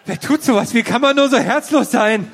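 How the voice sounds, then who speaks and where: Man acting boy detective, high voice, theatrical, plaintive, comedy audio play, slight background noise. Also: brisk, creaky, fairly bright tone, exaggerated voice. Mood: heartbroken. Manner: plaintive, exaggerated. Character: melodramatic, heartbroken boy detective.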